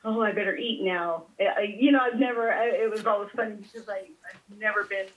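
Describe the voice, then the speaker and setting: A woman's voice: medium pitch, steady tone, brisk. A woman talking casually as a patient on a call, hesitant and often trailing off between thoughts. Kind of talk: casual personal conversation. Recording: thin, band-limited phone call.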